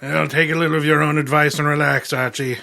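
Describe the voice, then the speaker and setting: A gravelly, fairly high-pitched detective, acted by a man in radio mystery style. He is pompous and irritable, and speaks formally with a sharp edge. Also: flat delivery, fast. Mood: composed. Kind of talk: old-time radio mystery acting.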